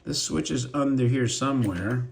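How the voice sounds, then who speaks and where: A man with a hoarse, cold-roughened voice, hosting a casual show-and-tell vlog and chatting in a rambling way with many asides. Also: medium pitch, moderate pace, slight background noise. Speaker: toy robot collector and channel host.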